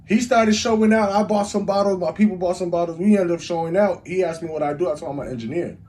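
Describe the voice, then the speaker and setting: A young man with a Chicago accent, a rapper and engineer, telling stories in a rambling, animated way as an interview guest. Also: high voice, fast talker. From Chicago, USA. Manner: rambling, animated storytelling with lots of "like". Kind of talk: casual storytelling interview.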